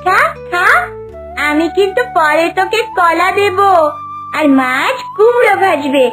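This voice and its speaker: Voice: extremely high pitch, animated, slow pace, heavily acted. A performer voicing a sweet, playful little village girl in a cartoon, chirpy and childlike. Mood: playful, affectionate. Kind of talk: cartoon voice acting. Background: children's music.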